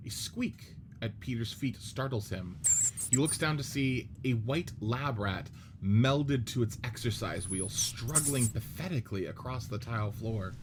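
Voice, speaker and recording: A man narrating the stage directions of a radio play script in a steady, descriptive reading. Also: mid-range voice, measured pace, background noise.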